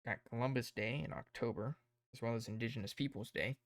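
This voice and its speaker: A man streaming casually, rambling and thinking aloud as he plans, his voice tired and croaky. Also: medium pitch, fast, no echo. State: hoarse, tired voice. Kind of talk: casual livestream chat.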